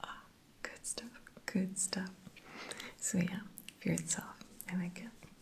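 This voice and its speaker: A woman whispering softly throughout, recapping TV episodes in a chatty ASMR style. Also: lowish voice, slow. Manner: soft unvoiced whisper throughout, chatty with fillers. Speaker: TV episode reviewer.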